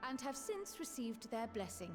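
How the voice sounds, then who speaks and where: A woman voicing an earnest, resolute young female scholar in a fantasy video game, speaking in a formal, measured, articulate way. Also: a little high, sing-song, music in the background.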